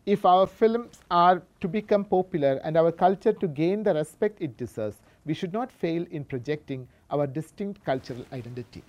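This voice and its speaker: Female academic presenter, low soft-edged voice, reads from a paper with halting explanatory asides. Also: expressive, muffled recording. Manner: halting, explanatory, with frequent self-corrections. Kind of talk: scholarly lecture read from a paper with spoken asides.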